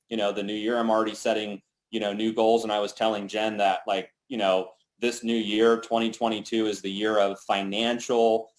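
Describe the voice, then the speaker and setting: Upbeat low-voiced man hosting a call. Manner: upbeat, many fillers like "you know" and "like". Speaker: company co-founder hosting a team call. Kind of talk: casual motivational call.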